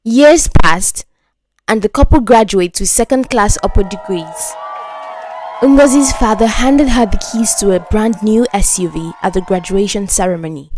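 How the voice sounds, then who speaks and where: A woman's voice: medium pitch, moderate pace, a little mellow, slight background noise, rough recording. A woman narrating a scripted story as a storyteller.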